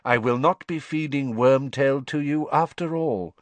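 Storybook villain, man playing a male dark lord: icy, menacing, mocking, deliberate, very dry sound. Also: dry recording, natural voice. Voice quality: cold, high-pitched.

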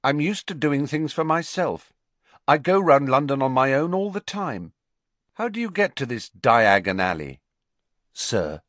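A man's voice: medium pitch, very animated, a little slow, a bit bright, no room sound, pitched above the performer's own voice. A man voicing a cold, guarded and defiant orphan boy in a storybook, with a curt manner. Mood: bold, defiant.

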